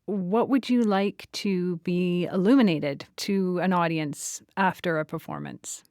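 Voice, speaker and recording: A woman hosting a theatre podcast, with measured questions and scripted announcements. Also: lowish voice, darkish tone, no room sound, studio quality. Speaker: podcast host and interviewer.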